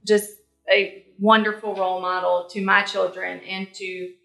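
A woman's voice: medium pitch, little pitch movement, unhurried, vocal fry, fairly bright tone. A woman hosting an interview podcast in a friendly, enthusiastic, chatty way. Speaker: podcast host. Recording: echoey room with faint music.

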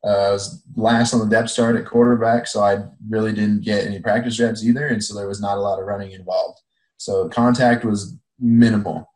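A young man, a college football player, calmly recounting his story in a casual interview. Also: lowish voice, brisk, roomy sound, poor audio. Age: young adult.

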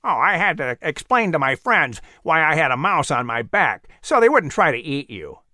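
An easygoing storybook crow, voiced by a man, bright and sharp in tone, with a casual, lively delivery. The recording is dry and close. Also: a little high, moderate pace, no room sound. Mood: breezy. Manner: casual, breezy. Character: friendly male crow, easygoing and helpful.

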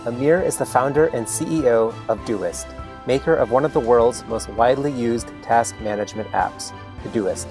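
A man's voice: mid-range voice, normal speed, a little mellow, soft background music. A man hosting a podcast who introduces his guest earnestly and speaks with open, heartfelt praise. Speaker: podcast host.

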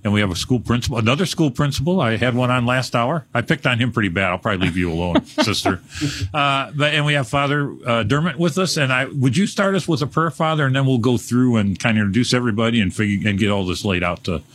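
A man's voice: medium pitch, fast talker, a bit bright. A man, hosting a live radio fundraiser in a casual, joking way full of fillers. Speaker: radio host.

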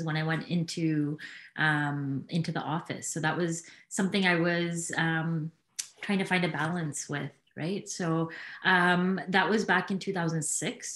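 Hesitant woman in her 30s, lowish voice, echoey room, lots of ums. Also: fairly bright tone. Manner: hesitant, many pauses and "um"s. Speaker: panel guest. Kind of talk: panel discussion. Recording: roomy echo.

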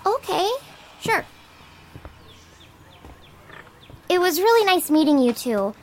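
A woman's voice: extremely high pitch, big pitch swings, normal speed, a little hiss or hum. An actress playing a friendly young local woman in an audio drama, casual and chatty. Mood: polite, hesitant.

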